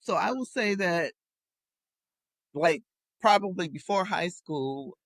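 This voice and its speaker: A woman's voice: lowish voice, expressive, slow, no room sound. A woman in her fifties, a podcast guest, telling reflective and amused stories in casual conversation.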